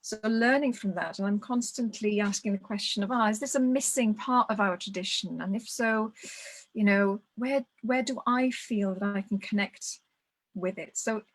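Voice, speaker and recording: A woman's voice: mid-range voice, moderate pace. A woman from Wales, speaking thoughtfully on a video call as a guest, often restarting her phrases. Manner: thoughtful, restarts phrases, steady even delivery. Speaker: guest speaker on ritual tradition. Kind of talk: reflective conversation.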